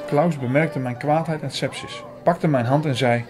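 A man's voice: mid-range voice, moderate pace, close to an everyday voice. An actor playing a sceptical, irritated man who narrates his own story in an audio drama. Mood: wary, reflective. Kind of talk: first-person audio drama narration and dialogue. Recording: music and crowd murmur behind.

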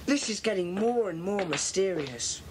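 A woman's voice: medium pitch, animated, normal speed, close to an everyday voice. A woman playing a confident schoolboy gang leader with a British accent, earnest and decisive, in a children's audio drama. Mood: keen, decisive. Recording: hissy old cassette tape.

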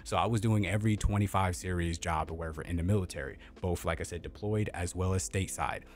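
A man with a deep voice giving a blunt, opinionated gear review at home, close to a studio mic, repeating himself and swearing casually. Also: quick pace, a little mellow. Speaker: tech content creator. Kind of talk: opinionated product review rant. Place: home streaming room with LED lights. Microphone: studio mic on boom arm, close.

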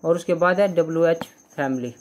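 A young man teaching English grammar in Hindi, going slowly through example sentences, repeating them and often checking "clear?". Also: fairly high pitch, slight background noise. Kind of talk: Hindi-language grammar lesson.

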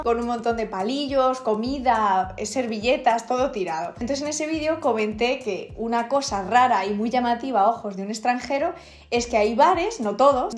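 A woman's voice: fairly high pitch, reverberant. A young woman from Spain with a Castilla-La Mancha accent that drops her s sounds, chatting casually to camera as a vlogger and laughing often. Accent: Castilla-La Mancha Spanish, drops s sounds. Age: young adult. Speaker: YouTuber, Spanish teacher. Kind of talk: casual vlog reaction, reading comments aloud. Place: indoor room, wall with photos behind. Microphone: camera at close range.

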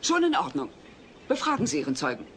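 A woman's voice: medium pitch, wildly swooping pitch, quick pace, fairly bright tone, a little hiss or hum. An actress playing a no-nonsense mother acting as judge in a German comedy audio drama, firm and curt. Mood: impatient.

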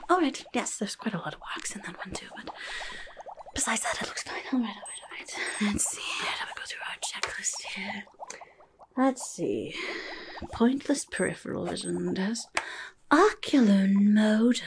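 A woman voicing a rude, impatient goblin clinic helper in a whispery, creaky ASMR roleplay, muttering briskly and sarcastically up close. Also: medium pitch. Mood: disgusted, rude, then busy, muttering. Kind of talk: ASMR roleplay, chaotic medical exam. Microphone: close-up microphone.

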